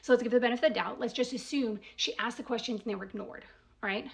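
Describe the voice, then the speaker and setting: A woman with a slightly high voice that often crackles into fry. She talks as a commentator working through an argument in casual, deliberate steps. She leans on key words, poses probing questions and tags her points with little checks for agreement. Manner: probing rhetorical questions, frequent "right?" tags. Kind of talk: casual spoken analysis.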